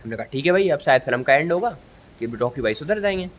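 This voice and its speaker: A man's voice: on the high side, animated, fast. A young man gives a casual, comic movie review in mock-formal storytelling style, recorded on a phone. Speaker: vlogger and amateur film reviewer. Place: outdoors at a railway station at night. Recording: noisy outdoor ambience on phone.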